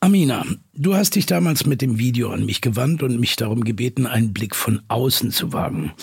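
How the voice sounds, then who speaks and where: A podcast host with a very husky, gravelly voice. He probes his guest in measured, deliberate phrases, as in a conversational interview for an audio drama, recorded close and dry. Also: mid-range voice, no room sound, exaggerated voice. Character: probing, self-aware male podcast host.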